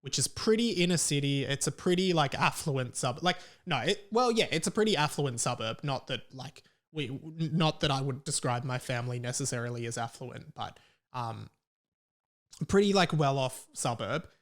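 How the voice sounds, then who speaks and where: A man's voice: medium pitch, lively intonation, moderate pace. A man in his twenties with an Australian accent, hosting a podcast solo in a casual, rambling way with repeated words for emphasis. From Melbourne, Australia. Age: 20s. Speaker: podcast host.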